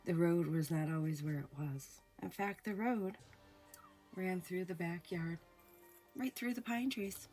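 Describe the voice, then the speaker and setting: A woman hosting a ghost story show, telling a personal haunting story slowly with hushed pauses, her voice a little off from a recent illness. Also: on the low side, soft background music. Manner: slow, hushed, dramatic pauses. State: recovering from illness, voice sounds off. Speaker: storyteller host. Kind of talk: spoken ghost story narration.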